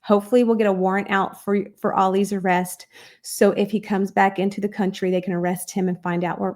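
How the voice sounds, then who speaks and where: A woman guest on a podcast, telling her life story in an earnest, animated way. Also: mid-range voice.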